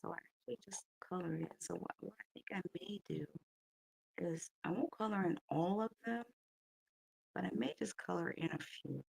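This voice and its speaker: A middle-aged woman with a deeply gravelly, husky voice, still waking up and chatting softly as she colors. She wanders through her thoughts with plenty of ums, in an unhurried way. The sound is close and very dry, with no room around it. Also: dry recording, poor audio. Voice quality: raspy morning voice. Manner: soft, unhurried, thinking aloud with fillers. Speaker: coloring channel host. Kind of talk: casual early-morning coloring chat.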